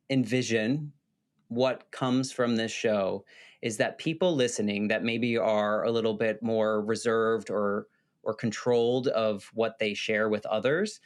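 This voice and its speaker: A man hosting a podcast, talking in a reflective, searching way as he frames his questions. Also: medium pitch. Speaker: podcast host. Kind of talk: interview conversation.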